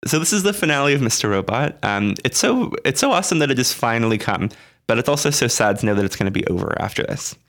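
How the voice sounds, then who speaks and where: A man co-hosting a podcast, hoarse from a flu, talking in a relaxed, thoughtful way with many pauses. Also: mid-range voice. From Canada. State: hoarse, getting over a flu. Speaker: podcast co-host. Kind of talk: casual chat. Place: dark basement room.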